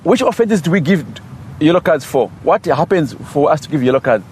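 A man's voice: a little high, expressive, brisk, darkish tone. A man teaching a referee course, questioning trainees in an emphatic, instructive way. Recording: clear outdoor background noise.

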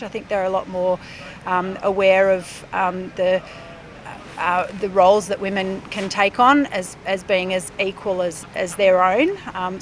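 A middle-aged woman, a speech pathologist, explaining her work in a measured way with fillers. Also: medium pitch, fairly bright tone, a little hiss or hum. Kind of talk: interview.

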